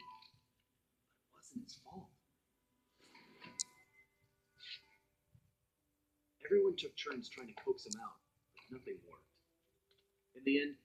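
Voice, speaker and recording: Animated American young thief hero narrating. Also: male voice, medium pitch, vocal fry, highs cut off. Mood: somber, resolute. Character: cool, loyal young male master thief narrating his adventures. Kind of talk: scripted video game cutscene narration.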